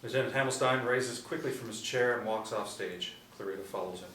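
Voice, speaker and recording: A man's voice: mid-range voice, a little fast, a bit bright. A middle-aged man reading screenplay stage directions aloud as narrator at a table reading. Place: small indoor room. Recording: roomy, distant mic, light room noise.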